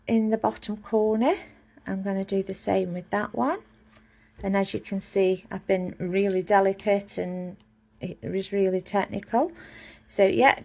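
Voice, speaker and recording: A woman with a slightly high, gravelly voice leads a relaxed drawing lesson. She speaks slowly and chattily, joking at her own expense as she works on the page. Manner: chatty, self-mocking, talks while drawing. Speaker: drawing teacher. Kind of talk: casual live drawing lesson.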